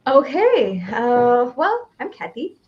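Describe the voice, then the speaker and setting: A woman's voice: sits high, big pitch swings, slow pace, muffled recording. Upbeat Malaysian woman, laughs often. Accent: Malaysian English. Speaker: change consultant and coach. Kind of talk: casual livestream interview.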